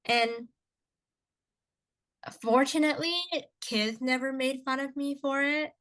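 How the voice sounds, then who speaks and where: A woman's voice: sits high, steady tone, takes it slowly, a bit bright. A young woman who grew up speaking Cantonese at home, co-hosting a casual podcast chat, talking in a rambling way with many restarts and asides. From Canada. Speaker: podcast co-host.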